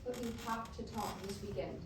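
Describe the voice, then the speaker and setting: A woman's voice: medium pitch, fairly even intonation, brisk, lightly acted. A woman playing a caring but pushy long-distance girlfriend in a staged musical reading, earnest and conversational. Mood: tender, earnest. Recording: very echoey hall, distant mic, noisy.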